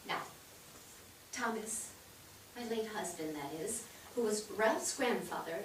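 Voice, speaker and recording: A woman's voice: medium pitch, animated, takes it slowly. A woman playing a proud, gracious elderly widow and matriarch in a staged play reading, speaking formally. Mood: reminiscing. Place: theatre stage, recorded from afar. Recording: distant noisy microphone in echoey theatre.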